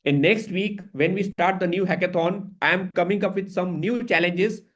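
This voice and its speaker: A man's voice: high voice, normal speed, fairly bright tone. A man, hosting as a career coach and giving an earnest, emphatic motivational talk to his community. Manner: earnest, emphatic, switches into Hindi phrases.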